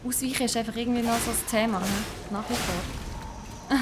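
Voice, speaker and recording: A woman's voice: on the high side, moderate pace, noisy background. A young Swiss woman with a Swiss German accent, live-streaming a game with chatty, self-mocking commentary and English exclamations thrown in. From Switzerland.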